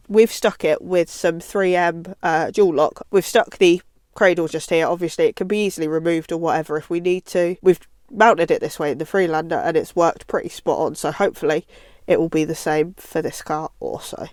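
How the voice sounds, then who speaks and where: A woman's voice: lowish voice, a little mellow, no echo, studio quality. A British woman giving a casual how-to chat as a vlog presenter inside a car.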